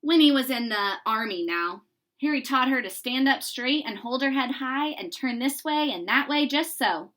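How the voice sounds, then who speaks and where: A woman reading a children's story aloud in an expressive, deliberate narrating style. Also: sits high. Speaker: storybook reader.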